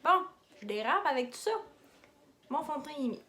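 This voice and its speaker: A woman's voice: sits high, lively intonation, moderate pace, background noise. A young woman with a Québécois French accent talking casually through her everyday makeup routine, rambling into asides and mixing in English beauty terms. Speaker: makeup vlogger.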